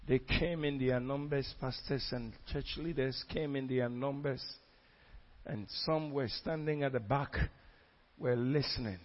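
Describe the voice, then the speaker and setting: A man's voice: mid-range voice, unhurried. Fervent male preacher, lo-fi sound. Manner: fervent, exclamatory preaching with repetitions. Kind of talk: sermon testimony. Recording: dull lo-fi recording.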